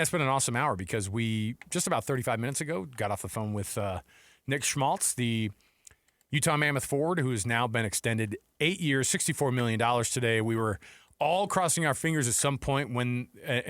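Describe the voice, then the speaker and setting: A man's voice: mid-range voice, fairly bright tone, no echo, clean studio sound. A man with an American accent hosting a sports radio show in a casual, conversational style.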